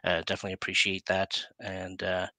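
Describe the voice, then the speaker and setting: A man in his forties hosting a casual online chat, speaking informally and haltingly, often trailing off, on a headset. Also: sits low, completely flat delivery, pushed and bright, dry recording. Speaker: talk show host. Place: indoor room, on a video call.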